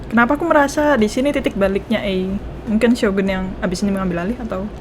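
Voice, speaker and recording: A woman with an Indonesian accent giving casual, excitable reaction commentary as she plays a game, often repeating her phrases. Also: medium pitch, rapid-fire, background noise. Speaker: game streamer. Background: game music.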